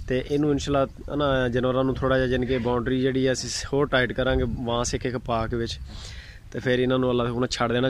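Pakistani Punjabi man touring his cattle farm. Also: medium pitch. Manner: plain-spoken, sprinkles religious phrases. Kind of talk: casual farm tour vlog. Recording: outdoor phone recording, background noise.

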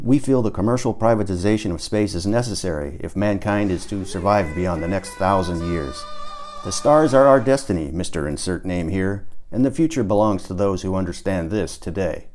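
A man's voice: low-pitched, a little mellow. A man narrating his own book as an author, in a theatrical audiobook style. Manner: acts out dialogue with emphatic swearing and outbursts.

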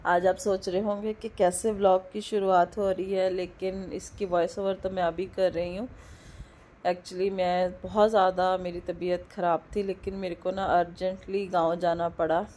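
A woman in her thirties with a hoarse throat from a cold, chatting loosely in a casual vlog voice-over from home and mixing in English words. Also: fairly low pitch, flat delivery, normal speed, mellow. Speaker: vlogger. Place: indoors at home, recording the voice-over. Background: a dog barking now and then.

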